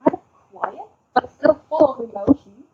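A woman chatting casually as a podcast co-host, enthusiastic and speaking in halting phrases. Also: high-pitched. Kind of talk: casual podcast chat, recommending audio stories. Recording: muffled, lo-fi voice recording.

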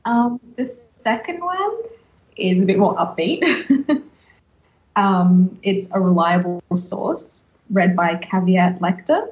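A woman's voice: medium pitch, lively intonation, slow, lo-fi sound. A woman hosting a podcast, chatting casually and giving recommendations with wry, self-deprecating humour. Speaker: podcast host.

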